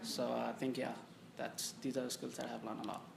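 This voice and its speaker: Flat-voiced young man from Pakistan. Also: mid-range voice, gravelly, a crowd in the background. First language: Urdu. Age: young adult. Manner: halting, restarts phrases, flat delivery. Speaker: interviewee, international student. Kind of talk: casual interview answers. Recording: echoey room with background noise.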